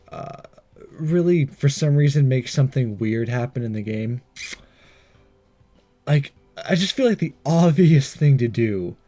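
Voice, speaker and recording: A man's voice: mid-range voice, mellow. A man giving casual, hesitant and wry game commentary, reading some of the text aloud as he plays. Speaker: let's-play host.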